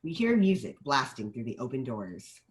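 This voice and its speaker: A woman's voice: a bass voice, sing-song, normal speed. A woman reading stage directions as scripted narration at a screenplay table read.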